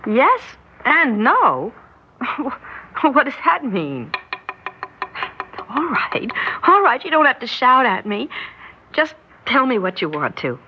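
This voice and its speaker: An actress playing an eager, chatty college-age niece in a 1960s radio drama, girlish, excitable and confiding. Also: high-pitched, slow, pauses often, exaggerated voice. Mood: playful, conspiratorial. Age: young adult. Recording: muffled, noisy 1960s radio recording.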